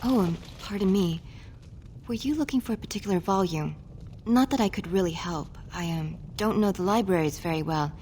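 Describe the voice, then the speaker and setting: Timid young dwarf scholar, medium-pitched woman acting. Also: moderate pace, warm tone, slight background noise, natural voice. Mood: shy, earnest. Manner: timid, apologetic, earnest. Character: shy, hopeful young female dwarf scholar. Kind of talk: video game character acting.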